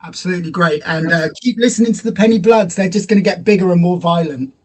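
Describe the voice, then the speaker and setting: A man's voice: sits high, fast, distant mic, slight background noise. A man chatting casually as an interview guest, his voice picked up a little off-mic. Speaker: podcast guest, voice actor and film producer.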